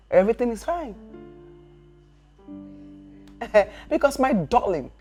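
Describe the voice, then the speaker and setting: A woman's voice: medium pitch, lightly acted. An actress playing a dramatic, teasing female best friend in a comedy skit. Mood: advising. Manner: teasing, dramatic, animated outbursts.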